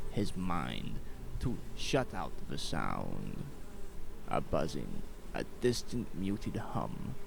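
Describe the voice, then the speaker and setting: A man narrating a horror story from a script, dramatic and suspenseful, with deliberate, weighted pauses. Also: extremely low pitch, vocal fry, noisy background.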